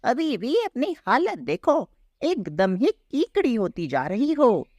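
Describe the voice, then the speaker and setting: A woman's voice: on the high side, sing-song, slow, darkish tone, no echo, highs cut off, exaggerated voice. A woman voicing a mocking village farm animal in a children's cartoon story, taunting and jeering like a bully.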